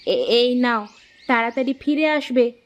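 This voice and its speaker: A woman's voice: a little high, a little slow, muffled recording, slight background noise, close to an everyday voice. A woman playing a practical, nagging village wife and mother in a cartoon story, with a questioning, mildly scolding manner. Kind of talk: cartoon moral-story dialogue.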